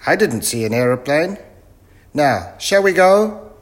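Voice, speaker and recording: Excitable, high-pitched muddled professor. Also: male voice, measured pace. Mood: brisk, muddled. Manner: loud, exclamatory, mishears and blunders on cheerfully. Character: excitable, muddled, hard-of-hearing old male professor inventor.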